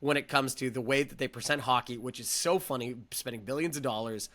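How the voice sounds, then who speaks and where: A man co-hosting a casual sports podcast, enthusiastic and quick to laugh. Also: medium pitch, a bit bright. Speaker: podcast co-host.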